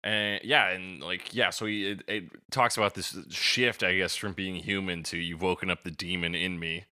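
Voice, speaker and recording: Low, bright-voiced man chatting casually. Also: fairly even intonation, moderate pace, smooth, dry recording, clean studio sound. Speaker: podcast co-host. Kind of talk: casual chat about song lyrics.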